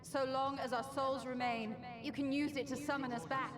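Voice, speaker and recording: An actress plays a gentle young female ally in a video game. Her high voice is earnest and pleading, ringing in long reverb over music. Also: steady tone, normal speed, lightly acted. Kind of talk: scripted video game voice acting.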